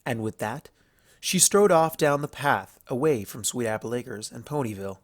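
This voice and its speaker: A man narrates a story from a script. His pitch sits in the middle range for a man. The recording is close and dry, with very little room sound. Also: lively intonation, normal speed, dry recording.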